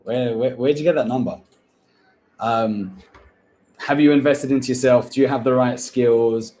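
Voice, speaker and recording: A man's voice: medium pitch, unhurried, dark tone, low-quality recording. A man hosting a live-stream Q&A about getting hired, blunt and coaching, calling people "bro". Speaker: host, recruitment agency owner.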